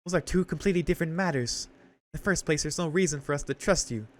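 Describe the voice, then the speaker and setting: Man voicing an earnest young woman, pitched up. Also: fast, close to an everyday voice. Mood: indignant. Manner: pleading, urgent. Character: earnest, protective young woman, anxious and indignant. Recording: music behind the voice.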